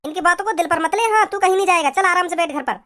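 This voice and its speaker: Protective cartoon mum played by a performer, very high voice, brisk delivery, firm with her family. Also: sharp tone. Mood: soothing. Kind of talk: comedy cartoon dialogue.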